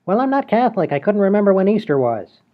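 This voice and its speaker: A man's voice: sits high, very animated, fast, muffled recording. A man playing a naive, bungling small-time crook in a radio comedy, defensive and full of excuses. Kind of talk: comedy radio play acting.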